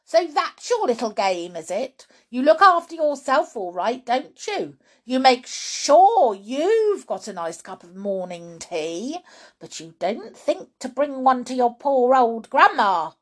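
A woman voicing a greedy, bullying old grandmother in a storybook reading, shouting accusations and pitying herself. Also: high voice, very animated, slow, pitched above the performer's own voice, heavily acted. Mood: gloating, accusing.

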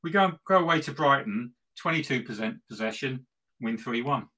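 Bright-voiced man, gleeful football fan. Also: mid-range voice, animated, a little fast. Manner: gleeful, tongue-in-cheek, repetitive for emphasis. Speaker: football podcast co-host and West Ham fan. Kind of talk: casual football chat.

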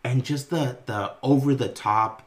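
A man co-hosting a casual podcast, telling animated family stories with plenty of swearing. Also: mid-range voice, a little slow. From Greek-American family. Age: adult. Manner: animated, profane storytelling, swings between loud and quiet. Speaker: podcast co-host. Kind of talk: casual chat.

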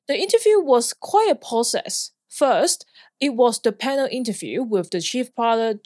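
A woman's voice: mid-range voice, dry recording, clean studio sound. A woman in her thirties from Hong Kong, a podcast host telling a personal story in an earnest, plain way. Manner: earnest, plainly worded, occasional light laugh. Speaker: podcast host, flying instructor.

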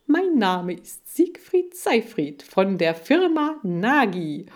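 A woman voicing a pompous, boastful male television salesman in a children's story, with a drawn-out showman's delivery. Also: medium pitch, very animated, voice pushed up. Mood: pompous, promotional.

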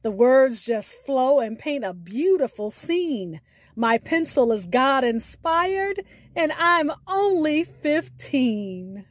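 A woman hosting a podcast, reading a scripted sign-off in a deliberate, carefully enunciated way. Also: high voice, very animated, no echo, clean studio sound. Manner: deliberate, spells out names and details. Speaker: podcast host.

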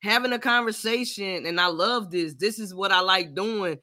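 A man's voice: high voice, moderate pace, bright, forceful tone. A man in his late thirties hosting a podcast, chatting casually with laid-back slang. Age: 30s. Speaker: podcast host. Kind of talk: casual chat.

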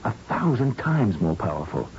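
An actor playing an earnest, secretive research scientist in an old-time radio science fiction drama. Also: male voice, deep voice, sing-song, moderate pace, a little mellow. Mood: grave, secretive. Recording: dull, noisy old radio recording.

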